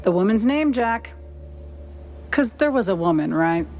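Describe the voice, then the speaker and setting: A woman playing a sharp-tongued noir reporter in a cartoon video game, teasing and arch in her delivery. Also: medium pitch, expressive, unhurried, faint music behind. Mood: sardonic, exasperated. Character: sharp-tongued, sardonic female newshound in a noir cartoon world. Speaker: reporter character.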